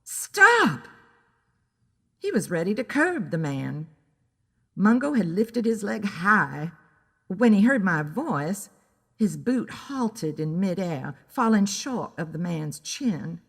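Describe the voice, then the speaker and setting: A woman giving a deliberate, dramatic first-person narration of a scripted story. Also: fairly low pitch, big pitch swings, vocal fry, big-room sound.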